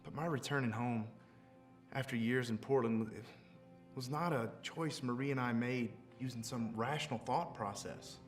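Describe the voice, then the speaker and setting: A man's voice: mid-range voice, lightly acted. Earnest preacher played by a man over music. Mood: sincere, gently wry. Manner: measured, reflective, with pauses. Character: earnest, devout male preacher, gently self-deprecating. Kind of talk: sermon in a film drama. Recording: music behind the voice.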